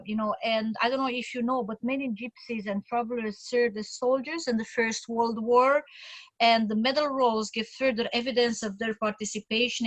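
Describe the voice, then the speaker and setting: A woman's voice: on the high side, fairly bright tone, dry recording, poor audio. A woman from Romania, giving an informal, enthusiastic talk on a video call, with many fillers and restarts. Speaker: community speaker at an online meeting.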